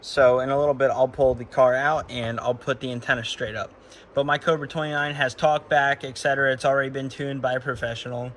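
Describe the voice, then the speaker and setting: A man's voice: mid-range voice, steady tone, a little fast, fairly bright tone. Man giving casual how-to, noisy recording. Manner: informal, talking while working with his hands. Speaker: vlogger demonstrating a CB radio installation. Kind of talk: casual hands-on tutorial talk. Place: garage, working at a car.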